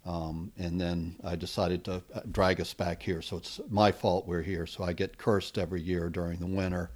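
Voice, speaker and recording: A middle-aged man, a venture capitalist guest, answering interview questions in a relaxed, rambling way with many fillers. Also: sits low, moderate pace. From United States.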